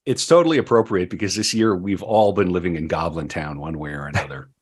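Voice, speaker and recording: A man's voice: deep voice, quick pace, husky. A man hosting a podcast in casual, playful banter with a jokey, theatrical way of talking. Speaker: podcast host.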